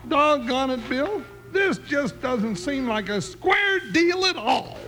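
A man's voice: very high-pitched, animated, takes it slowly, over loud music, heavily acted. A man playing a comic, easily spooked American cowboy sidekick with a folksy, complaining drawl in a 1950s radio western. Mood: nervous, grumbling. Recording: old radio recording, faint noise.

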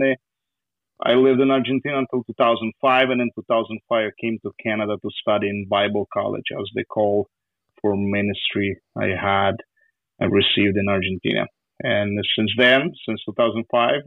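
A man's voice: fairly low pitch, no echo. Ukrainian-accented man on a tinny line. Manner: halting, many fillers, laughs often. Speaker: guest youth pastor. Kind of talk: casual podcast interview.

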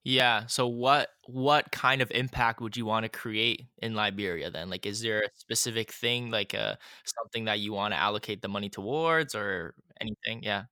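A man's voice: fairly low pitch, moderate pace, few pauses, bright, forceful tone, clean tone, dry recording. A man interviewing a student guest, asking short friendly follow-up questions. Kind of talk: casual interview. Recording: dry close sound.

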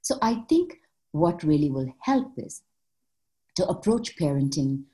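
A woman from Malaysia, a social worker and mother, answering interview questions in a reflective, measured way with frequent fillers. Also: low-pitched, big pitch swings, warm tone.

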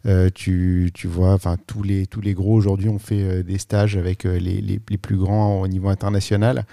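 A man hosting a podcast and interviewing a guest in a relaxed way with many fillers. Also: deep voice, normal speed, creaky, dry recording, clean studio sound. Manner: relaxed, filler-heavy questioning. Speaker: podcast host.

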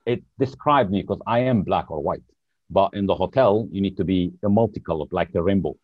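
A man from Lebanon speaks in a very deep voice, pausing now and then to find the right word as he talks about his work. His voice sounds dry and close, through a dull, lo-fi remote line. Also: slightly rough, no room sound. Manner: searches for words, hesitant. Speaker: podcast guest. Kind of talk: casual interview chat.

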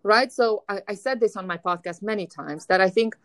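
Woman host, Russian-sounding accent, emphatic and lively, dry close mic. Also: medium pitch, fairly quick, dry recording. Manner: energetic, emphatic, repeats words when starting. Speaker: podcast host. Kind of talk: casual conversation.

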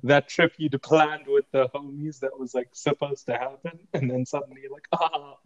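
A man's voice: medium pitch, fairly even intonation, moderate pace, highs cut off. A man joining a podcast as a guest in casual chat, joking and laughing often.

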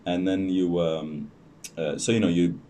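Young man, low dark voice, halting guest; distant mic, faint noise. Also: steady tone. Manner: hesitant, many ums and restarts. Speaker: podcast guest. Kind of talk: casual interview chat.

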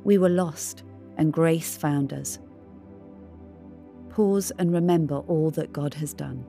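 Low, mellow woman reading devotional. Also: slow pace, vocal fry, faint music behind. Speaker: devotional reader. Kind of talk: scripted devotional narration.

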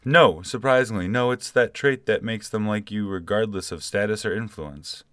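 A man's voice: on the low side, moderate pace, fairly bright tone, smooth, no room sound, lightly acted. A man playing a callous, smug, nonchalant company boss in a comic audio drama. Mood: matter-of-fact, obtuse. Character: callous, self-satisfied male video game company CEO.

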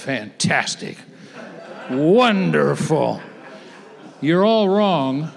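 Male preacher, slow and emphatic, slightly high voice, long hall reverb. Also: lively intonation, gravelly. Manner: emphatic, repetitive, with halting restarts. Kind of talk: sermon to a conference audience.

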